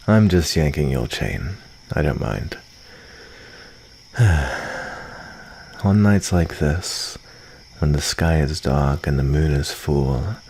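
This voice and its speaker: A man playing a calm, lonely, guarded vampire of the forest in an ASMR roleplay, with formal, dryly teasing phrasing. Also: a bass voice, vocal fry, a little hiss or hum, exaggerated voice. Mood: wry, relaxed, then wistful. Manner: unhurried, soft-spoken formal phrasing with dry teasing. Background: cricket ambience.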